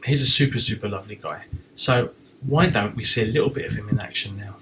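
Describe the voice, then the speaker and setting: A man's voice: lowish voice, fast talker, background noise. A man hosting an online talk show in a chatty, informal style.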